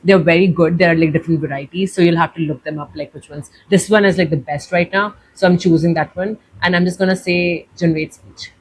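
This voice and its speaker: A woman's voice: lowish voice, fairly even intonation, fast talker, hardly any pauses, breathy edge, rough recording. Young Indian woman explaining casually. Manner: chatty, leans on "like" while explaining. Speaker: tutorial host. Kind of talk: casual step-by-step demo.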